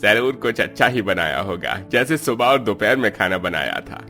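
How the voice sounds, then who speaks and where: A man's voice: lowish voice, expressive, normal speed, sharp tone, soft background music. A man playing an older father-in-law, the patriarchal head of a cartoon household. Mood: approving.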